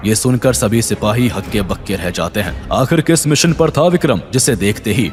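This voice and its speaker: A man's voice: lowish voice, animated, normal speed, faint music behind. A man reading a scripted audio thriller as narrator, in a dramatic, suspenseful and intense style. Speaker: story narrator.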